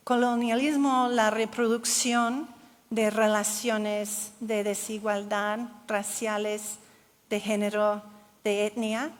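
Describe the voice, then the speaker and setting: A woman giving a keynote talk, answering audience questions in careful Spanish that is not her first language, with restarts. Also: on the high side. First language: English. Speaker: keynote speaker. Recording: long hall reverb.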